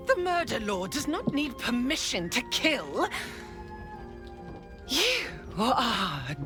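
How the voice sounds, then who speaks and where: A sadistic cult killer from a fantasy video game. She speaks very slowly and sweeps wildly up and down in pitch, toying with her prey before dropping to a hiss. Music plays behind her. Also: very animated. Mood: taunting. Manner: taunting, sing-song, swings between hiss and whisper. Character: sadistic young female murder-cult assassin. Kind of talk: video game cutscene acting.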